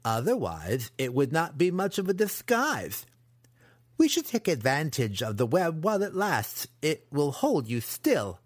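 A man voicing a sly, cruel young male dark elf apprentice in an audiobook, taunting as he schemes. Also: a little high, very animated, unhurried, dry recording, studio quality, voice pushed up. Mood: matter-of-fact menace. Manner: taunting, matter-of-fact scheming. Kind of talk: audiobook character acting.

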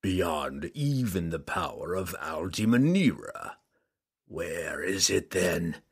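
A cruel demon lord, voiced by a man, growls each word slowly, deep and menacing. The voice is low and husky with a rough, strained edge. It is an audiobook character voice, drawn out and heavy. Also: very animated, heavily acted.

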